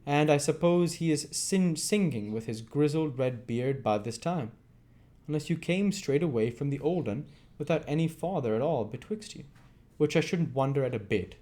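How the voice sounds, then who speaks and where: A man doing a brutal robber who barks his threats loud and gruff. The voice rides up and down with lively swings of pitch in a dramatic read-aloud story. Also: moderate pace, lightly acted. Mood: angry. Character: brutal, bullying grown male robber.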